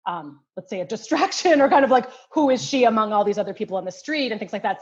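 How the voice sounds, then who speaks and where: Thoughtful middle-aged woman, lo-fi. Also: mid-range voice. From United States. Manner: thoughtful, many restarts and hedging fillers. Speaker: guest anthropologist. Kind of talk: casual interview answer.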